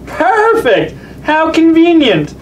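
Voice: very high-pitched, wildly swooping pitch, slow, highs cut off, a little hiss or hum, heavily acted. A performer voicing a mild, good-natured dad puppet in a children's show, hesitant and folksy. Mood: polite, pleased.

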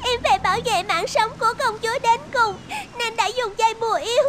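A woman's voice: very high-pitched, a little fast. A woman playing a loyal, anxious young maidservant in a cartoon fairy tale, pleading in distress. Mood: alarmed, distressed.

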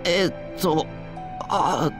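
A man's voice: on the high side, extremely slow. A man playing an earnest, easily flustered teenage boy in an anime-style game, speaking in an awkward, evasive way. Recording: loud background music under voice.

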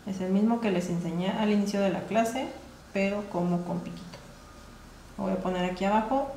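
Woman chef in her 30s, calm class demo, echoey tiled kitchen, audible hiss. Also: medium pitch, a little fast. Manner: calm, explains steps while working with her hands. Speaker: gelatin art instructor. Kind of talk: live cooking class demonstration. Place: large tiled professional kitchen. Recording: echoey kitchen, noisy livestream audio.

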